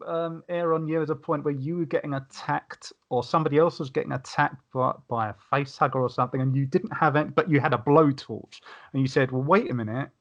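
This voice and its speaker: A man's voice: fairly high pitch, steady tone, fairly quick, lo-fi sound. A man in casual panel discussion, talking wordily and often restarting his sentences. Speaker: podcast panelist.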